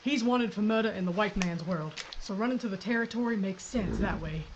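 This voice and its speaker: A woman's voice: medium pitch, fairly quick, a little hiss or hum, close to an everyday voice. A woman playing a tough Old woman sheriff. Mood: determined. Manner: dry, blunt, folksy. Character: tough gun-toting woman sheriff, blunt and stubborn. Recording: dull lo-fi audio.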